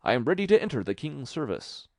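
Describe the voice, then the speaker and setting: A man's voice: medium pitch, sing-song, fast, gravelly, no echo, clean studio sound, pitched above the performer's own voice. A man voicing a boastful, merry little tailor in a fairy tale, with a bold, bragging manner. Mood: eager, proud.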